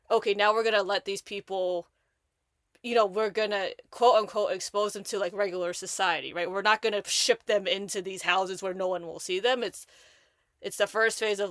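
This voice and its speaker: A woman hosting a podcast, talking in an animated, rambling way with lots of restarts and fillers. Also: mid-range voice, no echo, clean studio sound. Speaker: podcast host. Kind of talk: casual conversation.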